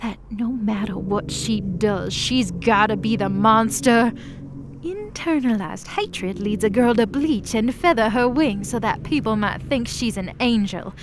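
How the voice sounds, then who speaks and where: A woman's voice: on the high side, animated, unhurried, noisy background. A woman playing an embittered, defiant demon of hell in an audio drama, speaking with passion and slangy sarcasm. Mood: bitter, sardonic. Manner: impassioned, sarcastic, casual slangy speech. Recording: prominent music under the voice.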